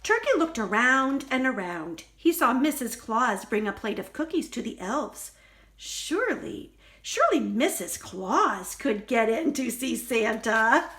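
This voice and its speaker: Woman storyteller, very lively intonation, slow deliberate pace, children's picture book reading, expressive. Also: a little high. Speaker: librarian storyteller.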